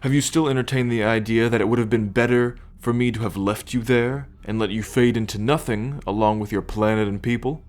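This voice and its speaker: Man acting a low-voiced, imperious alien captain. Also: lightly acted. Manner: measured, imperious, formal lecturing. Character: commanding male alien starship captain, possessive, proud captor. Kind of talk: ASMR roleplay addressed to the listener.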